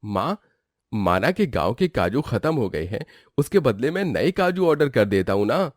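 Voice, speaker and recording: A man playing an expressive, exclamatory family man in an animated domestic moral story. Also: mid-range voice, moderate pace, no echo, studio quality. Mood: placating.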